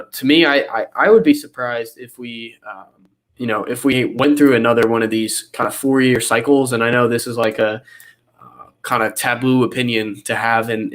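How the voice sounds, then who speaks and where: A man's voice: medium pitch, fairly bright tone. A young man with a cold and a nasal voice, explaining charts in a casual interview over a video call, full of fillers and restarts. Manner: rambling with many fillers and restarts. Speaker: guest analyst.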